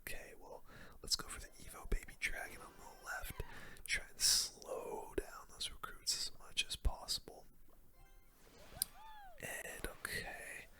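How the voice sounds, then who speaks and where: Whispered ASMR game commentary, breathy gamer, casual slang, very bright hissy tone. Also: unhurried. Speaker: gaming video creator.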